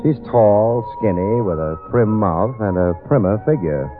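A wry, hard-boiled private detective, talks in a fairly low voice, hesitant with many "uh"s. The old radio broadcast sounds tinny, with music behind it. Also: male voice, expressive, unhurried. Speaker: radio drama actor and narrator. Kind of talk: 1940s radio acting.

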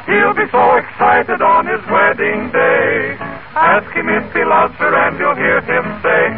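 A man's voice: extremely high pitch, very animated, measured pace, creaky, over loud music. Male singers of a 1940s vocal group crooning a lilting song. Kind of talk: 1940s close-harmony pop song. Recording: tinny 1940s radio broadcast with band.

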